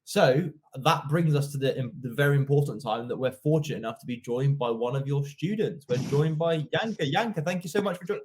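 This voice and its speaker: A man's voice: on the high side, fairly quick, few pauses, noisy background. A young man hosting an online interview in a polite, formal, effusive way. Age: young adult. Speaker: interviewer, host. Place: indoor office, video call.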